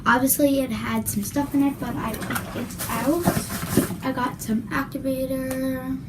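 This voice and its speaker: A woman's voice: high-pitched, steady tone. A young woman reviewing slime in a casual vlog, chatty and apologetic, her voice worn and her throat scratchy. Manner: apologetic, chatty, drawn-out words. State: losing her voice, clearing her throat. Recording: loud background noise.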